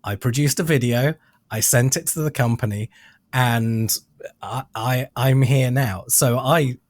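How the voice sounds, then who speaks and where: A British man hosting a podcast interview, asking questions in deliberate, wordy phrasing. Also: mid-range voice, no echo. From United Kingdom.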